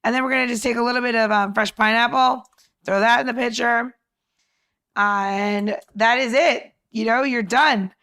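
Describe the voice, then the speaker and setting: High-voiced woman, chatty and upbeat. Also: steady tone, normal speed, pushed and bright. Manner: informal, upbeat, peppered with like and you know. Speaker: podcast co-host presenting cocktail recipes. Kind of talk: casual chatty recipe walkthrough.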